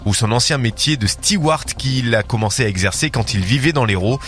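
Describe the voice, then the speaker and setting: A man's voice: medium pitch, fairly quick, faint music behind. Man reading the morning radio news. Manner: steady read with occasional hesitations. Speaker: radio newsreader. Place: radio studio. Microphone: studio microphone.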